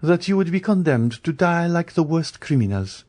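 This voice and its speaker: A man's voice: on the high side, sing-song, normal speed, mellow, pitched above the performer's own voice. A man voicing a proud young woman who speaks coldly and curtly. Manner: cold, curt.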